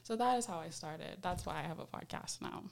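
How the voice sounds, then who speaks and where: A woman's voice: on the low side, lively intonation, brisk, creaky. Bahamian woman hosting a casual podcast. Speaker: podcast host.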